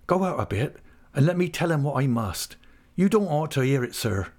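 Young fisherman, played by a male narrator: honest, anguished, pleading, pitched up with a gravelly edge. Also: expressive, a little slow, darkish tone. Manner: pleading, faltering. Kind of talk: dramatised audiobook character.

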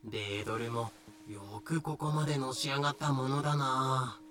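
Guy doing a deadpan history explainer, bright highish voice, clipped, music underneath, audio drama. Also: normal speed, close to an everyday voice. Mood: dry. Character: dry, pedantic explainer of history, terse. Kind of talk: scripted voice drama narration. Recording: music behind the voice.

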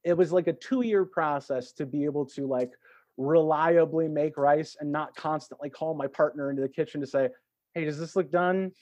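A man's voice: fairly high pitch, moderate pace, a little mellow, no echo. A man talking in a reflective, conversational way as a podcast guest, encouraging and reassuring as he gives advice. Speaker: podcast guest, actor and tarot reader.

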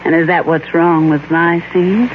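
A woman's voice: fairly low pitch, steady tone, moderate pace, close to an everyday voice. An actress playing a headstrong young woman jazz singer in an old radio drama, speaking with dramatic emphasis. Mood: anxious, questioning. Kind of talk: 1940s radio acting. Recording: noisy, muffled old radio recording.

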